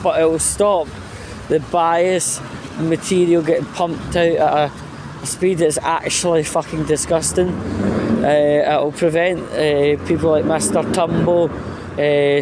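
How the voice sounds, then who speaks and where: Male vlogger, unhurried and halting, emphatic and foul-mouthed, informal rant, noticeable street-like background noise. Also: fairly high pitch, flat delivery. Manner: blunt, sweary, emphatic. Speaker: vlog host.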